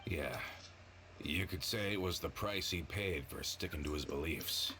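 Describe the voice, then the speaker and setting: A deep-voiced, loyal henchman who speaks earnestly and with deference. It is video game dialogue, heard with clear noise from the stream. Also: a little slow. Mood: earnest, explanatory. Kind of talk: video game character acting. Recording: noisy game stream audio.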